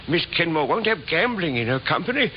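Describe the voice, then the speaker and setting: An actor playing a timid old stage doorkeeper in a radio drama, speaking hesitantly and deferentially with a slight stammer. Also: male voice, fairly high pitch, very animated, fairly quick. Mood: deferential, earnest. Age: older. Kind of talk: 1950s radio drama acting. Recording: scratchy, dull 1950s radio recording.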